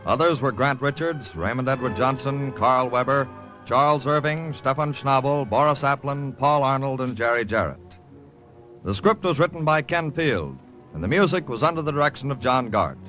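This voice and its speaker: A man's voice: medium pitch, lively intonation, normal speed, fairly bright tone, low-quality recording. A man reading scripted credits as a formal old-time radio announcer. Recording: old radio broadcast recording. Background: music.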